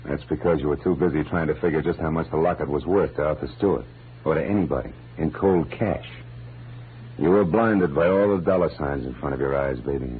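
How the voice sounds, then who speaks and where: An actor playing a wry, world-weary American private detective in 1940s radio noir, with sardonic, slangy narration. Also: male voice, very deep voice, a little fast, slight background noise. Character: wry, hard-boiled male private detective, narrating his cases. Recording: muffled vintage radio recording, music behind.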